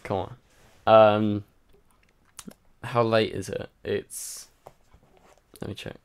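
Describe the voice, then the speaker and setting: A man's voice: fairly low pitch, level tone, takes it slowly, clear, resonant tone. A tired man hosting a casual livestream, rambling and chatty with lots of fillers while answering viewer comments. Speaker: livestream host. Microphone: home microphone.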